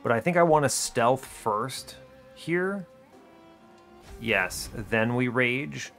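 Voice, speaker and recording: Male gaming host, mid-pitched, reasoning through each move deliberately, with music behind him. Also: animated, creaky, a bit bright. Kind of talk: strategy game commentary, thinking aloud.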